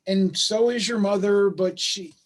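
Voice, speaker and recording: A man acting in a play reading as a baffled, conciliatory father, speaking in a halting, earnest, self-deprecating way. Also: high voice, fairly even intonation. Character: baffled, conciliatory working-class father of a gifted son. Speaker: stage actor in a play reading. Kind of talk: staged play reading, naturalistic dialogue. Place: remote video call.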